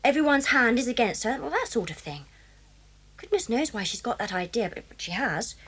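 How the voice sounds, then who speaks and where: A woman's voice: fairly high pitch, very animated, a little fast, a bit bright, slightly rough, exaggerated voice. An actress playing a sensible, candid schoolgirl with an English accent in a children's audio drama. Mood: puzzled, considerate. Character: sensible, sociable English boarding-school girl, candid and well-meaning.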